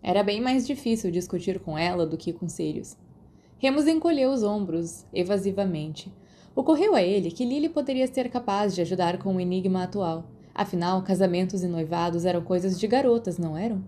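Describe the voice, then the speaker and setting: A Brazilian woman narrates scripted fiction. Her voice has a relaxed, mellow colour and sits at an average pitch for a woman. She reads as a storyteller would for a podfic. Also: brisk, a little hiss or hum.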